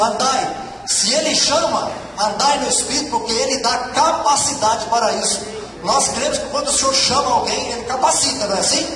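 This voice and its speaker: A man, preaching an earnest sermon and repeating his key phrases to exhort the congregation. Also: very high voice. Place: large church hall. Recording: echoey church hall from far off, noisy.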